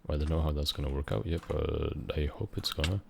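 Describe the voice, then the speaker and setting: A young man of about nineteen from Switzerland, rambling unscripted in a halting, meandering way while he plays a game, with casual swearing. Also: rumbling low, fairly even intonation, creaky, noisy background. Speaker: gaming vlogger.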